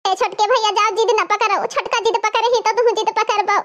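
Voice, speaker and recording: A distressed cartoon village woman, extremely high and childlike in pitch. She speaks with an Awadhi accent and pleads melodramatically, drawing her words out into wails about the family. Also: fairly even intonation, brisk, fairly bright tone. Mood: pleading, scolding.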